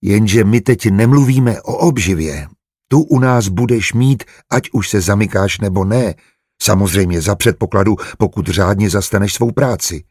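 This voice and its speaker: A kind middle-aged head of a household in an audiobook, played by a man. He is gentle and reasonable, with a lowish, husky voice recorded very dry. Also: no room sound. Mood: patient, reasoning. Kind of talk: audiobook character voice.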